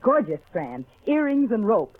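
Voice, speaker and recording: A woman's voice: a little high, sing-song, measured pace. A woman reading a rhyming vintage radio commercial in a perky, gushing, singsong way. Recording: tinny vintage radio recording.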